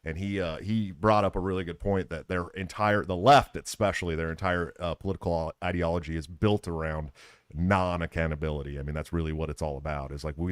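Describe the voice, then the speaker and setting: Deep-voiced American male podcast host. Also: dry recording, studio quality. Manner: earnest, informal. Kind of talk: casual interview chat. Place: live at a political convention.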